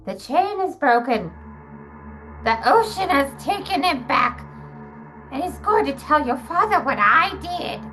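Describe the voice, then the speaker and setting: An elderly, brittle mother with a cold, haunted edge, acted by a woman at a table read. Her voice sits fairly high, and faint music runs underneath the drama. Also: sing-song, a little slow, pushed and bright, exaggerated voice, low-quality recording. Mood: manic, despairing. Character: frail elderly mother, manic then drained and cold.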